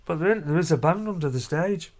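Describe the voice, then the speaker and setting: A man's voice: a little high, animated, brisk, a little mellow. A middle-aged man, a father chatting casually in a family vlog from a hotel room, rambling and down-to-earth. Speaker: vlogger, father.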